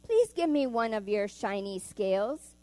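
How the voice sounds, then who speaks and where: A woman's voice: medium pitch, expressive, measured pace, warm tone, no room sound, lightly acted. A woman voicing a small, timid male fish from a children's storybook, pleading sweetly. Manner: pleading, pleasant.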